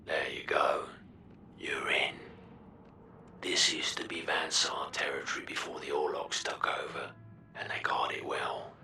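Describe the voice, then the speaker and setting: An actor playing a gruff, shady guide in a grim sci-fi video game, speaking in a hushed, terse, breathy rasp. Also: male voice, a bass voice, flat delivery, unhurried, roomy sound, in a whisper, far from the mic, heavily acted. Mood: hushed, knowing.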